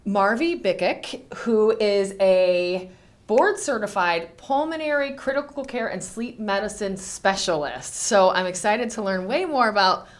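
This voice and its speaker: A woman's voice: mid-range voice, lively intonation, unhurried. A woman hosting an interview podcast in a studio, upbeat and eager, with plenty of "um" and "uh". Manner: upbeat, eager, many fillers. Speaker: podcast host.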